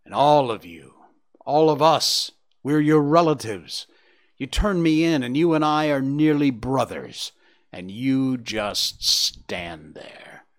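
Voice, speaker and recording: A man's voice: mid-range voice, lively intonation, slow, pushed and bright, heavily acted. A man voicing a wounded, dying male fox in a storybook, imploring and bitterly reproachful. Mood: bitter contempt. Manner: imploring, lamenting, later snarling and hissing.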